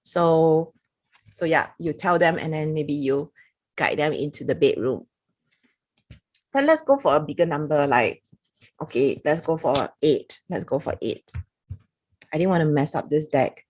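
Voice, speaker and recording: A woman's voice: deep voice, lively intonation, a little slow, long pauses, a little mellow, rough recording. A woman with a Singaporean accent giving a chatty, casual review to camera, reading passages aloud with little laughs. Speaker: product reviewer.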